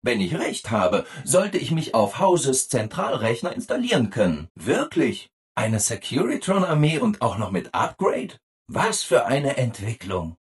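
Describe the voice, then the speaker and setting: Super eager happy robot helper from a video game, pitch bounces all over, pretty rough husky voice. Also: male voice, exaggerated voice. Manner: chirpy, overeager, singsong delivery. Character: relentlessly cheerful, obliging robot assistant with guileless eagerness. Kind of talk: scripted video game dialogue.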